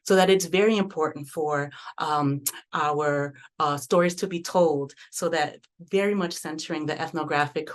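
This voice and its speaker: A woman's voice: deep voice, moderate pace. A woman from the American South speaking earnestly on a panel discussion, reflective and often restarting her sentences as she works out her thoughts. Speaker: panelist and community arts researcher.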